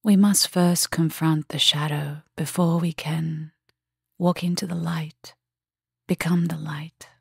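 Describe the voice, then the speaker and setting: A woman guiding a meditation in a soft, breathy, husky voice that often nears a whisper, gentle and unhurried with long pauses. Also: low voice, fairly even intonation, no room sound, studio quality. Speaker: meditation guide. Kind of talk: guided meditation, soft and soothing delivery.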